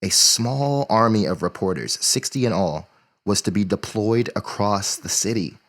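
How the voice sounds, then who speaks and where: A man acting as a measured, deliberate chronicler of newspaper history in an audio play. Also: on the low side, animated, a bit bright. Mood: measured, formal.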